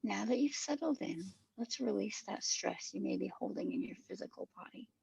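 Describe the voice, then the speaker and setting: A woman narrating a guided meditation with soothing, measured instructions. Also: mid-range voice, vocal fry, a little mellow, slightly rough.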